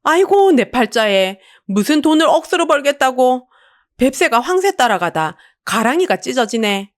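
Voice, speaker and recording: A woman voicing a wounded, distrustful street vendor with a Busan dialect and an anguished, high-strung manner in an audio drama. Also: very animated, normal speed. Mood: weary lament. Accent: Busan Gyeongsang dialect.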